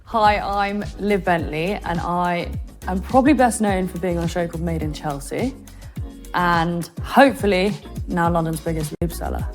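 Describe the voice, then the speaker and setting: Woman, posh english accent, lowish voice, blunt and self-mocking. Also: normal speed, loud music behind the voice. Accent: upper-class English. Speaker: reality show contestant. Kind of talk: confessional interview.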